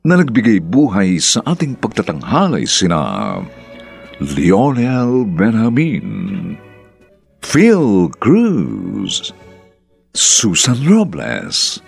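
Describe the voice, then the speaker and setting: A man with a deep, creaky voice and Filipino accent announcing a radio drama in a formal, theatrical style. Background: music.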